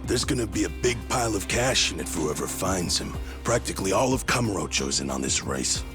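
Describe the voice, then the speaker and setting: An actor playing a cocky gang thug who taunts and threatens in a video game. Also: male voice, low-pitched, animated, a little fast. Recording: music playing behind. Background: music.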